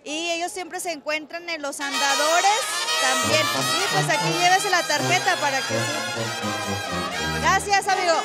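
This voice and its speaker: Mexican girl reporter, super high voice, chatty, loud crowd all around. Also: big pitch swings, a little slow. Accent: Mexican Spanish. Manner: chatty, upbeat, many fillers. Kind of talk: roving event interview. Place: outdoor fair under a large roof. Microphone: handheld mic. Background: band music and crowd.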